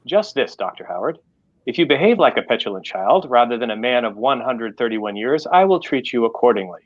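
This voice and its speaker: A man's voice: medium pitch, big pitch swings, a little fast, muffled recording. Man playing a refined, sardonic American AI butler. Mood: stern. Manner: formal, exacting, sardonic. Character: refined, dry, scolding AI butler, male, looks sixties.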